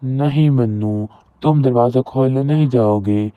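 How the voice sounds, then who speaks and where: A man's voice: medium pitch, a little slow, close to an everyday voice. A man playing a proud, status-conscious young elder son in a cartoon moral story. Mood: stern. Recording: roomy echo, very thin phone-like audio.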